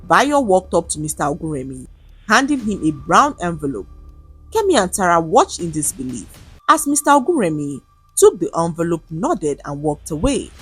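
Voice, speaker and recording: Woman, Nigerian accent, dramatic story narrator, animated intonation, husky edge, faint music behind. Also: on the low side, normal speed. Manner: expressive, dramatic.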